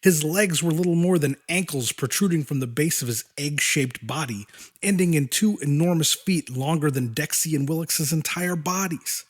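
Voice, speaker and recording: A man narrating a scripted horror story as an audiobook reader, in a deliberate, dramatic manner. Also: a little high.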